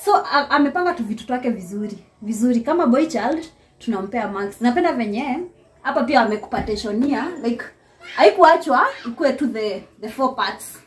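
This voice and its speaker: A woman's voice: a little high, brisk. Chatty young Kenyan woman touring an apartment. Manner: lively, laughing often, talks straight to viewers. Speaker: vlogger giving a house tour. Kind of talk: casual chatty house tour mixing English and Swahili. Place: small apartment, indoors and on a balcony. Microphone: handheld phone camera.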